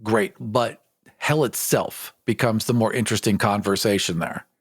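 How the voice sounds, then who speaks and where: A man's voice: on the low side, normal speed, husky, clean studio sound. Casting: male podcast co-host, informal and conversational, reasons things out aloud, very little room echo. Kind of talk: casual chat, answering listener questions.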